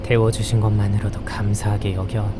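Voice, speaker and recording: A man's voice: on the low side, little pitch movement, fairly quick, lightly acted. A man playing a weary, grumbling teenage boy in a visual novel, speaking curtly in both dialogue and narration. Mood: weary, flat. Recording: loud background noise, car-like hum.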